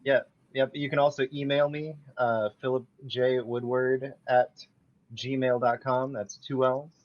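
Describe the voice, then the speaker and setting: A man chatting as a podcast guest, friendly and relaxed, with some hesitation. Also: medium pitch, measured pace, lo-fi sound. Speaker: podcast guest, voice actor and artist. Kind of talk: casual podcast chat.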